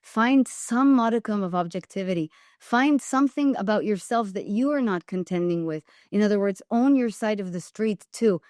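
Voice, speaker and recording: A woman's voice: medium pitch, animated, normal speed, few pauses, a little mellow, dry recording, clean studio sound. A woman with an Israeli accent, a guest on an interview podcast, who speaks emphatically and repeats phrases for weight.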